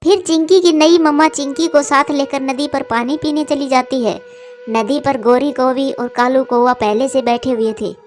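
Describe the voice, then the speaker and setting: A woman narrates a children's cartoon tale from a script. Her pitch is very high, and faint music runs underneath her voice. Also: fairly even intonation, moderate pace, darkish tone.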